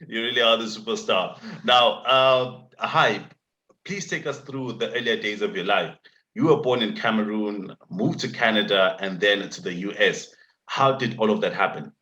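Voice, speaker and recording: A man with a somewhat low voice hosts a podcast. He sounds upbeat and tends to repeat his phrases. His delivery is easygoing and conversational. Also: moderate pace, bright, forceful tone, low-quality recording. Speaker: podcast host. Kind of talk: podcast hosting.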